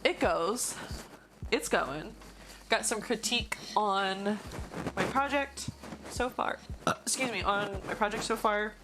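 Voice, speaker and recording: A young woman live-streaming while she models in 3D, musing slowly and chatting with viewers, her voice going hoarse. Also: mid-range voice, background noise. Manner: slow, musing, thinking aloud, greets chat. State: losing her voice.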